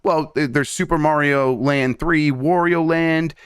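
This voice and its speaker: A man's voice: on the high side, unhurried, clean tone, no room sound, studio quality. A young man co-hosting a casual gaming news show, chatting in a rambling way with lots of fillers. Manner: animated, rambling, many fillers. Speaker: co-host. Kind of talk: casual podcast chat.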